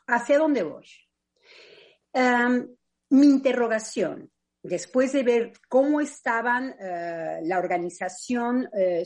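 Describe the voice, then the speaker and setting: Animated Mexican woman, reflective and personal. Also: on the high side. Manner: thoughtful, personal, with frequent hesitations. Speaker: psychoanalyst on a radio panel. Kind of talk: reflective radio discussion.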